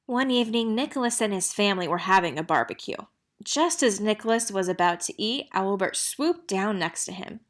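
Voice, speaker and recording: A woman reads a children's storybook aloud at a medium pitch. She narrates expressively, as a storyteller would for young listeners. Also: animated, moderate pace. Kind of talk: children's picture book read-aloud.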